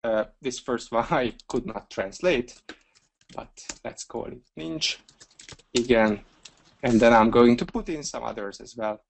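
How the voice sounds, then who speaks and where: A Hungarian man walking through a software feature step by step in a webinar screencast, relaxed and talking through each click with a few fillers. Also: mid-range voice, unhurried, vocal fry, a little hiss or hum. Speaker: software trainer.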